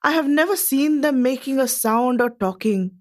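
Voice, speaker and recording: A curious, admiring Indian lady visiting Edwardian England in a radio play. Her voice is on the high side, and she delivers her diary in precise, formal, expressive phrases. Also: normal speed. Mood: impressed, curious. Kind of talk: scripted dramatic reading of a diary.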